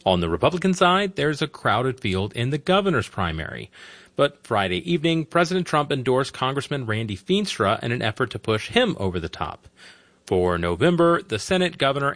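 Lively-voiced male political reporter. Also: medium pitch, no room sound. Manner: emphatic, punchy phrasing. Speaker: political correspondent. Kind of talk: scripted news report.

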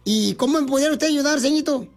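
A man making a radio prank call, teasing in a deadpan voice and rambling like a lonely caller. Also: extremely high pitch, quick pace.

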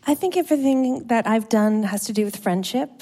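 A woman's voice: a little high, brisk, mellow. A Canadian woman in her fifties, a musician guest on a show, chatting casually and playfully with frequent laughs and jokey asides. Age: 50s. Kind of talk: casual interview chat.

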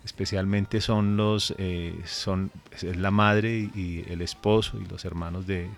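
A man's voice: low voice, steady tone, slow pace, gravelly. A man who is a pastor, raised in Colombia, telling a story earnestly and measuredly in a radio interview.

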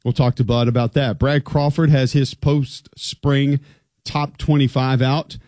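A man's voice: medium pitch, level tone, a little slow, darkish tone, no room sound, clean studio sound. A man hosting a college football talk show, chatty and emphatic. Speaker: sports radio host.

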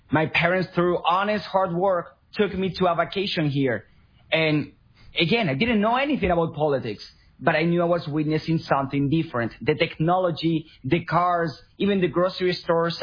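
A man's voice: fairly high pitch, husky. A man from Venezuela, a guest on a radio talk show, telling his personal story earnestly. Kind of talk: radio interview. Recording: dull lo-fi radio sound.

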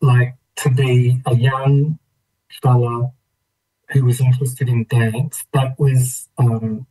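An Australian man in his fifties from Melbourne's western suburbs, telling his own story in a reflective, thoughtful, halting way as an interview guest. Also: medium pitch, level tone, mellow, low-quality recording. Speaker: interview guest, trained dancer. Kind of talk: reflective personal storytelling in conversation.

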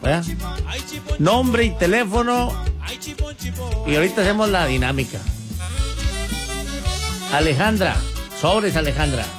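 A man's voice: high voice, expressive, unhurried, long pauses. A man with a northern Mexican accent hosting live radio, bantering casually with listeners in playful, slangy, repetitive patter. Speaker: radio host. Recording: music playing behind the voice.

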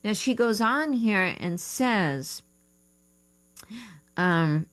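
A woman's voice: medium pitch, expressive, drawn-out words, a bit bright. A woman coaching on the radio, answering listener letters while thinking aloud and correcting herself. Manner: thinking aloud, unsure of terms, self-correcting. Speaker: writing coach and radio host. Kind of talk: advice show talk, reading listener letters.

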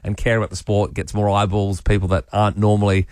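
A man co-hosting a breakfast radio show, running a quiz with enthusiastic, quick banter. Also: on the low side, little pitch movement, darkish tone, no echo, clean studio sound. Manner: enthusiastic, quick-talking quizmaster. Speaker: radio co-host.

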